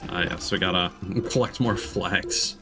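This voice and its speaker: A man's voice: low voice, unhurried. A man streaming a video game with casual, wry, understated commentary over game music. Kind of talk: casual let's play commentary.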